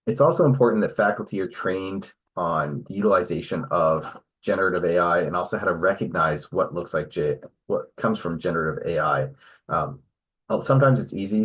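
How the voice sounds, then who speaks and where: A man presenting and moderating an online webinar, explaining in a measured way and often checking in with "right?". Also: low-pitched, fairly bright tone, breathy edge, poor audio.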